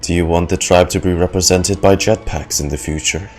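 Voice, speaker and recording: A man's voice: sits low, fairly even intonation, brisk, darkish tone, faint music behind. A man giving casual reaction commentary as a game streamer.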